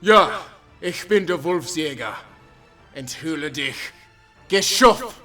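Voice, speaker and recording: An actor playing a stern, secretive werewolf hunter with a German accent, giving terse, grave orders in a cinematic audio drama. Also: male voice, a little high, expressive, slow, pushed and bright, soft background music, exaggerated voice. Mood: shouting challenge.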